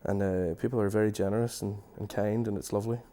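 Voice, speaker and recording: A man with a Donegal Irish accent, a singer-songwriter guest chatting in a studio, hesitant and restarting often, his voice trailing off quietly. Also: on the low side, steady tone, quick pace. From Donegal, Ireland. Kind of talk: casual interview chat. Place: radio studio.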